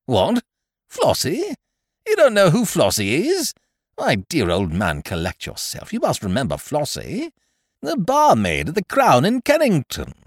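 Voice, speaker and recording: Storybook scrounger played by a male reader: falsely hearty, cajoling, hugely animated, pitch leaping about. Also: moderate pace, no room sound, studio quality. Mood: astonished, coaxing. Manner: effusive, cajoling, old-fashioned British slangy address. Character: breezy male scrounger, wheedling, overfamiliar, falsely hearty chum.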